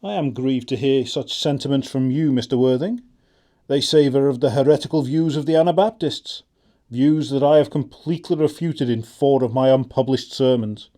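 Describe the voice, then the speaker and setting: A man's voice: medium pitch, moderate pace, dark tone, natural voice. A man playing a learned, mild country clergyman in a stage comedy audiobook, with an English accent and a pedantic, formal manner. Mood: pedantic, grieved.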